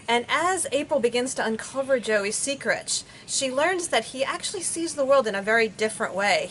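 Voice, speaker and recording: A woman with a higher-pitched voice gives an informal book talk as its author. She is recorded outdoors in a backyard, with slight ambient noise around her. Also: fairly bright tone. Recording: faint outdoor background noise.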